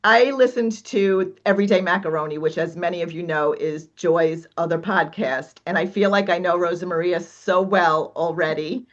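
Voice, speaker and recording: A woman's voice: on the low side, moderate pace, few pauses. A woman podcast co-host from New York, who chats easily, laughs, and reads a guest's bio in a listing cadence. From New York, Long Island. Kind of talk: casual podcast chat and bio reading. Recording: slightly dull lo-fi sound.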